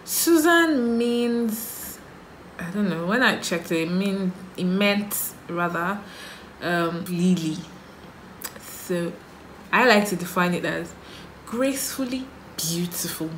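A woman's voice: fairly low pitch, takes it slowly, echoey room, a little hiss or hum, rough recording. A Nigerian woman in her thirties, a makeup vlogger chatting casually to camera and musing through get-to-know-me questions about herself. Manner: relaxed, musing, answers her own questions aloud. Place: small indoor room, sheet backdrop.

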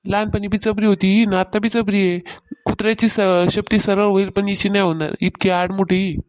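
A woman's voice: medium pitch, flat delivery, moderate pace, darkish tone, slight background noise, lightly acted, poor audio. A woman voicing a meddling, gossiping older village neighbour in a comedy cartoon, with a rural Maharashtrian accent and a taunting, insistent way of telling tales. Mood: mocking. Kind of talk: Marathi comedy cartoon dialogue.

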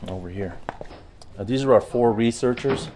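An actor playing a brisk, authoritative intelligence chief briefing his team in a video game cutscene, businesslike and commanding. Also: medium pitch, noisy background, natural voice. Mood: collegial, welcoming.

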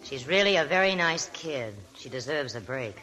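A man's voice: on the high side, expressive, moderate pace, natural voice, low-quality recording. An actor playing a friendly, generous man with an easygoing manner in a 1940s radio drama. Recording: old radio broadcast.

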